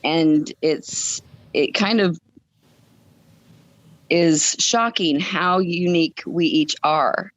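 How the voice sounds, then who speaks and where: A woman's voice: low-pitched, extremely slow, long pauses. A woman in her fifties telling a personal story conversationally as a podcast guest, stressing points by repeating phrases. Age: 50s.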